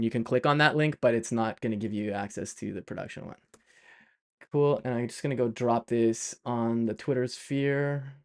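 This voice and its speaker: A man's voice: mid-range voice, creaky, smooth. A middle-aged man with a Canadian accent, chatting casually on a live stream, laughing often and full of fillers and restarts. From Canada (Montreal).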